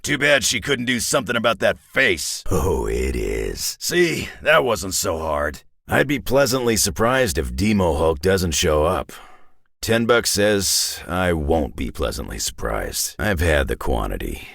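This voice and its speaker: A grizzled old superhero brawler for a video game, with an extremely deep voice and a husky North American growl. He is a sardonic loner who talks in clipped, dry lines, and his pitch swings widely. The sound is close and dry. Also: very animated, unhurried, a bit bright, dry recording, full character voice. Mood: combative, intense. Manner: dry, sardonic, clipped tough-guy delivery.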